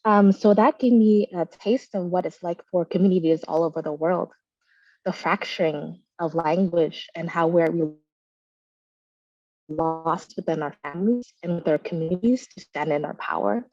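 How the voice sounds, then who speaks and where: A woman whose first language is Vietnamese, telling a personal story quickly and earnestly on a video call. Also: on the low side, darkish tone, no room sound, lo-fi sound. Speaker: panelist.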